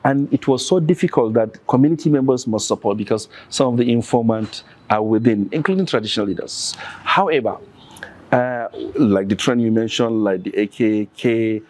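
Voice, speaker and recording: A man's voice: mid-range voice, moderate pace, a little mellow. A man with a Nigerian accent, a podcast guest talking earnestly and listing examples one after another. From northern Nigeria. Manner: earnest, lists examples insistently. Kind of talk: conversational interview.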